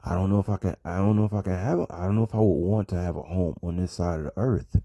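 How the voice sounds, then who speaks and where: An American man talks casually to his followers in a deep voice with a gravelly fry. He rambles and circles back on his phrases for emphasis. The sound is dry and close. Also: quick pace, warm tone, no room sound, dull audio. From United States. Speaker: vlogger. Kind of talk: casual personal monologue to an audience.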